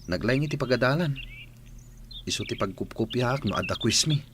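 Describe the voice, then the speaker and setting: A man acts out a loyal, wistful Filipino student in a radio play. His voice sits at a middle pitch, with faint birdsong and ambience behind it. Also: expressive, moderate pace, noisy background, natural voice. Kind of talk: radio drama acting.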